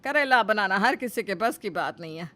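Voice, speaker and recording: A woman voicing a sharp-tongued, doubting mother-in-law with a scolding, exclaiming manner in a moral story. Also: medium pitch, lively intonation, normal speed. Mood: scornful.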